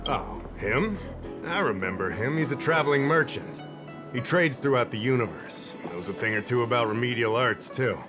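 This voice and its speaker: An actor playing a casual, chatty middle-aged security officer who rambles a little in a game cutscene. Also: male voice, medium pitch, animated, normal speed, lightly acted. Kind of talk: game voice acting. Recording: game cutscene with music behind.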